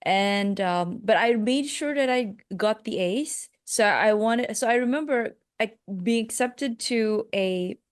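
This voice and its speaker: A woman's voice: on the high side, takes it slowly, hardly any pauses, no echo, clean studio sound. A woman from Malaysia with a Malaysian accent, a life coach telling her life story as a podcast guest, reflective and hesitant with many fillers.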